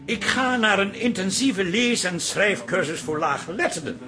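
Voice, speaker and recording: An actor playing an earnest grown son in a radio drama, speaking in a measured, formal way. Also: male voice, sits high, lively intonation, pushed and bright. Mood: solemn, proud.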